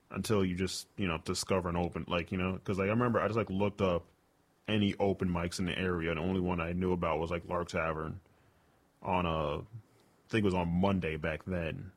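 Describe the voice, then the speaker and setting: A young man, a stand-up comic and radio host, chatting casually in an interview and rambling with lots of fillers like "like" and "you know". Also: deep voice.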